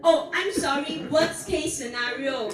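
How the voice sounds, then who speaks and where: A woman in her thirties from Singapore giving a speech at an outdoor protest rally, earnest and measured, asking rhetorical questions. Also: high-pitched, crowd noise. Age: 30s. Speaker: protest speaker. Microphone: PA microphone recorded from the audience. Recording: distant echoey outdoor PA recording.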